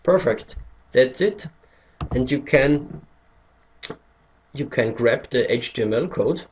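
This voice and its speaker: A man with an Austrian German accent talks slowly through a computer tutorial, pausing often to find his English words. His voice sits at an ordinary middle pitch. Faint noise and mouse clicks sit under his speech. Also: lively intonation, darkish tone. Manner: hesitant, searching for English words. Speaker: tutorial maker. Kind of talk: screen-recorded tutorial.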